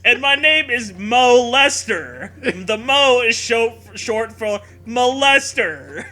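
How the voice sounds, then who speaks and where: Animated high-voiced man, sarcastic and giggly. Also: slow. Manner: animated, sarcastic, often laughing through words. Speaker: podcast co-host. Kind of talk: comedic riffing while reading fan fiction aloud.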